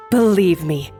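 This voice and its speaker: A woman's voice: medium pitch, darkish tone. A woman playing a proud, combative young chef in a video game, with a pointed, challenging manner. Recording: reverberant, faint music underneath.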